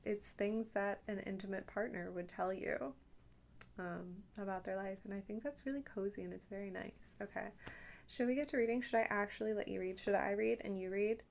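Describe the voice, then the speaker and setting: A woman with a creaky, fry-edged voice at a middling pitch talks as an ASMR host. She rambles casually and intimately to the listener, slipping in playful, teasing asides. There is faint noise behind her. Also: a little fast.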